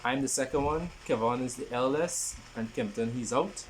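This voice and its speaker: A man in his twenties introducing a podcast in a casual, halting way, often repeating his phrases. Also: mid-range voice, a little hiss or hum. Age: 20s. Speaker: podcast host.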